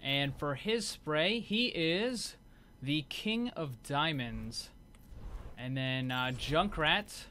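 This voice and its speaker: A man's voice: on the high side, expressive, extremely slow, sharp tone. A man giving casual, unscripted commentary as host of a gaming video. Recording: clear background noise.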